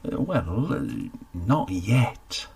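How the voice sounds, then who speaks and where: A man's voice: fairly low pitch, big pitch swings, drawn-out words, gravelly, darkish tone, a little hiss or hum, full character voice. A man voicing a scruffy, sheepish jobless man of about fifty, who mumbles and stammers. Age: 50s.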